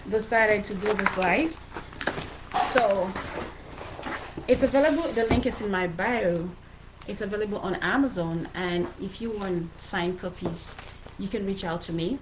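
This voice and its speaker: A woman's voice: medium pitch, noisy background. A middle-aged woman with a Cameroonian accent gives an informal motivational livestream talk as an author, speaking earnestly and emphatically and often repeating phrases. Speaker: author presenting her book.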